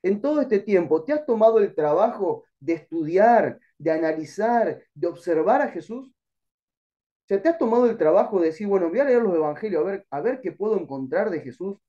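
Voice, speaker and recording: A man's voice: high voice, expressive, normal speed, mellow, lo-fi sound. A middle-aged man with an Argentine accent teaching an online Bible class, earnest and exhorting, calling listeners brother. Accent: Argentine Spanish.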